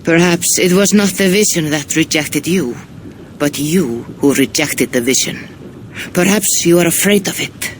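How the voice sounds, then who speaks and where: A woman playing a knowing, unyielding Norse seeress in a video game, measured and portentous. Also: deep voice. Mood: knowing, challenging. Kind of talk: dramatic video game acting. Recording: faint background ambience noise.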